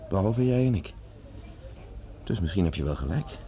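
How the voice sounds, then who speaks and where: An actor playing a friendly, thoughtful young man in a Dutch radio drama, relaxed and kindly. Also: low voice, normal speed. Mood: pensive. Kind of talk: Dutch radio play acting.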